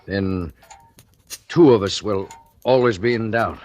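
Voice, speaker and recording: An actor playing an uneasy, guilty doctor in a 1940s radio thriller, hesitant and evasive. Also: male voice, fairly low pitch, a little mellow, highs cut off. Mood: nervous, suspicious. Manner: hesitant, halting, nervous. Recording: noisy, clicking old radio recording.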